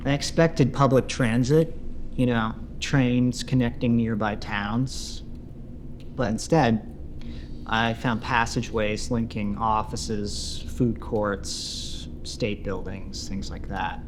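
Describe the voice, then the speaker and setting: A man acting as a lone male survivor of an empty world, recording a found-footage confessional. He speaks in a halting, conversational, understated way at a middling pitch, with audible noise behind him. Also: fairly even intonation, close to an everyday voice. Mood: reflective, wary.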